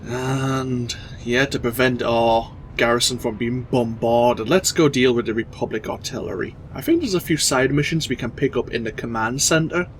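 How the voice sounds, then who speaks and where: A man with a stuffy head cold giving relaxed, explanatory gameplay commentary as host. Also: medium pitch, normal speed, few pauses, a little hiss or hum. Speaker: let's play host.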